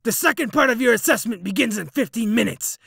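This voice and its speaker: A man's voice: very high-pitched, lively intonation, creaky, a crowd in the background, full character voice. A man playing a harsh, commanding military drill instructor who barks at recruits in a fan audio drama. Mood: barking orders. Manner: barking, commanding, drawn-out emphasis.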